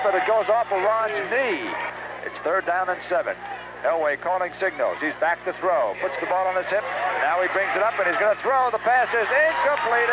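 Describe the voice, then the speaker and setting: A muffled 1970s radio broadcast with heavy noise carries an American man calling high school football. His voice sits high, and he describes each play in short, clipped phrases as a live sportscaster. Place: outdoor stadium game broadcast.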